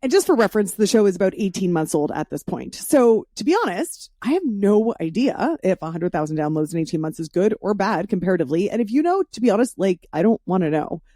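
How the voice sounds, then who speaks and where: Lively, chatty woman podcast host. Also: medium pitch, fast talker, hardly any pauses, dry recording, clean studio sound. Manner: informal, chatty, swears freely. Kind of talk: casual solo intro and interview chat.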